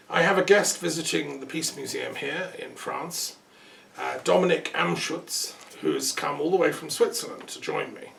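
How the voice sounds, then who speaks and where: A man with a very gravelly, fry-laden voice, sitting back from the mic in an echoey room. He hosts a relaxed interview, thinking aloud and rambling a little. A faint hiss runs underneath. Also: on the high side. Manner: rambling, thoughtful, with frequent ums and asides. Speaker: host. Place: peace museum building. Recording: roomy sound from a distance, faint noise.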